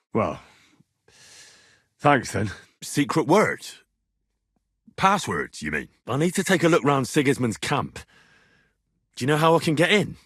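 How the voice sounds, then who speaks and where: An actor playing an earnest, blunt young medieval squire in a video game, plain-spoken and a little husky. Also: male voice, fairly high pitch, a bit bright, no echo, studio quality, exaggerated voice. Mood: inquisitive. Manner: plain-spoken, polite to nobles, bluntly challenging when provoked. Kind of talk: video game dialogue acting.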